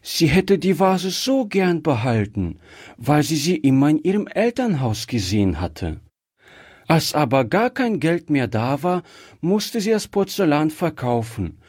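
A man voicing a bright, innocent little girl in a storybook, earnest and inquisitive. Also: a little high, big pitch swings, a little slow, slightly rough, no echo, studio quality, pitched above the performer's own voice, full character voice. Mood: curious, chatty. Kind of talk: acted storybook dialogue.

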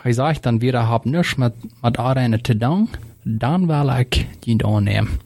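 A man speaks calmly and in a measured way about faith. His voice sits in a middle range. The recording is dry. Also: medium pitch, no echo. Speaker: discussion participant. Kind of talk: casual Bible discussion.

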